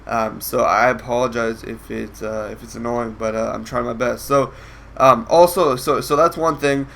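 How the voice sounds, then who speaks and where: A man's voice: mid-range voice, fairly even intonation, moderate pace, vocal fry, a bit bright. Nasal sick young man rambling. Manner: rambling with many ums and restarts. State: sick, congested. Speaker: gameplay commentator. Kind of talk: casual gameplay commentary.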